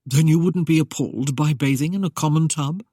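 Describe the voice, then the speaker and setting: A man's voice: fairly high pitch, brisk, dark tone, no echo, clean studio sound, lightly acted. A man voicing a teasing, roguish young man in an audiobook, mock-innocent and playful. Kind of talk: audiobook character voice.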